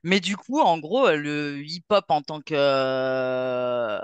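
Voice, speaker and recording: Deep, smooth-voiced French woman, informal. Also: slow, dry recording, dull audio. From France, near Saint-Étienne. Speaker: festival founder, interview guest. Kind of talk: casual chat, personal storytelling.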